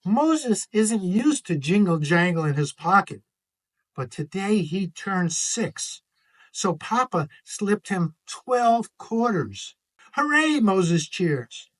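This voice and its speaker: A man narrates a children's picture book in an expressive read-aloud style. His voice sits fairly high for a man, and he takes his time over the phrases. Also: slow, rough recording. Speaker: author reading own picture book.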